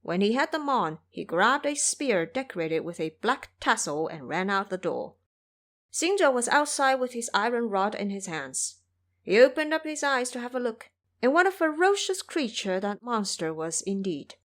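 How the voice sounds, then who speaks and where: A woman's voice: fairly high pitch, normal speed. A woman narrating a classic fantasy adventure in an audio drama, telling it vividly. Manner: vivid, expressive storytelling. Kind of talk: scripted storybook narration.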